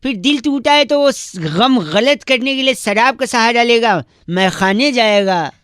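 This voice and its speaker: A man's voice: very high-pitched, expressive, unhurried, fairly bright tone, clear, resonant tone, no echo, clean studio sound, full character voice. A man voicing a cheeky, mock-polite prankster who makes comic radio prank calls in an earnest, rambling way. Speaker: radio prank-call host. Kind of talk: comic prank phone call.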